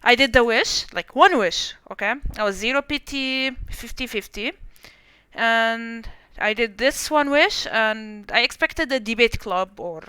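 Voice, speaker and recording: A woman's voice: on the high side, lively intonation, measured pace, bright, forceful tone, clear, resonant tone, no room sound. A young woman streamer chatting casually and rambling, with self-deprecating asides, while playing a game. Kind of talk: casual live-stream commentary.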